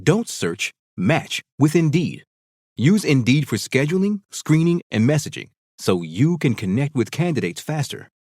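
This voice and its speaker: A man's voice: mid-range voice, very animated, measured pace, a little mellow, dry recording. Upbeat male ad announcer, dry sound. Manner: smooth, upbeat, salesy. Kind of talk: scripted sponsor read.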